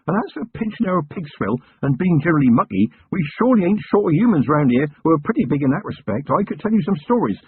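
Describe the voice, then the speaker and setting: A man's voice: sits high, slightly rough, pitched above the performer's own voice, heavily acted. A man doing a nervous young country constable. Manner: eager, nervous, rambling. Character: earnest anxious young male country constable. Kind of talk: audiobook character voice. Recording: tinny lo-fi narration recording.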